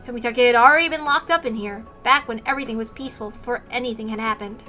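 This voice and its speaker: A woman's voice: fairly high pitch, fast talker, pushed and bright, faint music behind. A woman narrating a visual novel aloud as a dramatic story reader.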